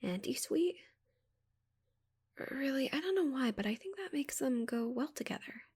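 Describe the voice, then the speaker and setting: A woman voicing a shy, curious giant female alien in a soft, breathy ASMR roleplay, with a hesitant, stammering manner. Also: high-pitched, animated, moderate pace. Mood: delighted, curious.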